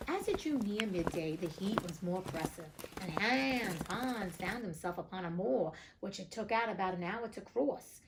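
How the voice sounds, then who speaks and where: A woman's voice: medium pitch, expressive, moderate pace, few pauses, very noisy. A woman reading a storybook aloud as host, breaking off for chatty, informal, joking commentary. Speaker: reader and host of a classics read-aloud show.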